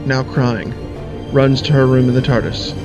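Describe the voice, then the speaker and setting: A man reading the stage narration of an amateur audio drama in a plain, measured way. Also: mid-range voice, steady tone. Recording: dull audio, loud music.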